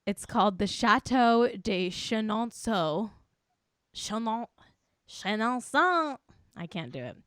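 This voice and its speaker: Giggly higher-pitched woman co-host. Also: lively intonation, slow, smooth, no room sound, clean studio sound. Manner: giggly, chatty, swears casually. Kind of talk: casual chat.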